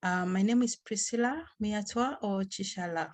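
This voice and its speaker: A woman with a Zambian accent answers interview questions off the cuff as a charity founder. Her pitch is medium. She talks in a halting way, often doubling back to repeat or reword a phrase.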